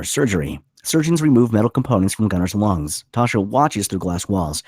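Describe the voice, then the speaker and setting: A man's voice: fairly low pitch, a little mellow, husky, dry recording, studio quality. A man reading the stage directions as scripted narration at a screenplay table read.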